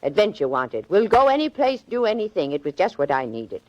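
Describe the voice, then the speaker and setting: An actress playing an eccentric, plucky older woman in a 1940s American radio drama, brisk, prim and forthright. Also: medium pitch, sing-song, a little mellow, no room sound, lightly acted. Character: brisk, no-nonsense old spinster lady, eccentric and plucky. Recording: dull, band-limited vintage radio recording.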